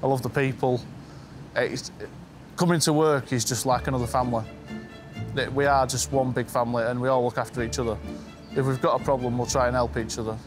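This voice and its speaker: Chatty railway worker, music behind. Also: male voice, mid-range voice, fairly even intonation, brisk. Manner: chatty, warm-hearted, laughs easily. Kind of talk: casual interview. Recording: interview with background music.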